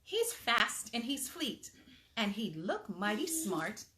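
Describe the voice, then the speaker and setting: Animated, higher-pitched woman reading a storybook. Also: takes it slowly. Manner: animated, patient parental asides. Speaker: storytime host reading aloud to children. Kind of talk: expressive picture-book read-aloud.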